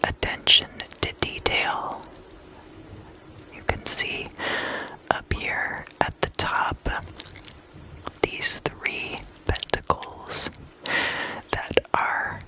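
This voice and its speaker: A woman whispering in a breathy, unvoiced voice, guiding an ASMR meditation with soft, deliberate phrasing and hypnotically repeated words. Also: rough recording. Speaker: ASMR host and tarot meditation guide.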